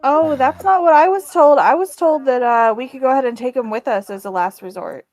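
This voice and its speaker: A woman's voice: high voice, fairly quick, a little mellow. A woman speaking casually as a player in a tabletop roleplaying game, improvising her character's actions.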